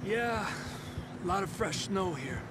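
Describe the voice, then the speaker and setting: A man's voice: sits high, animated, measured pace. A man playing a watchful Mexican outlaw in a video game, a native Spanish speaker who calls out cautions and guides his companion. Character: watchful male outlaw gunslinger guiding a companion. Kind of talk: video game dramatic acting. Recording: loud outdoor ambience noise.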